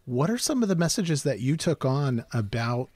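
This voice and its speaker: Middle-aged host, dry close sound, relaxed and conversational. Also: male voice, mid-range voice, moderate pace, dry recording. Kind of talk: interview.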